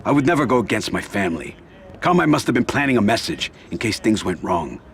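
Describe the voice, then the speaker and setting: An actor playing a calculating mafia don in a video game, giving counsel in a measured way. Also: male voice, lowish voice, sing-song. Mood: urgent. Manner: measured, advising. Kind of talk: video game crime drama acting.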